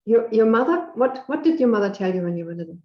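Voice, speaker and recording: A woman coach interviewing a client, asking gentle questions with pauses. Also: a little high, expressive, reverberant, dull audio. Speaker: coach and host.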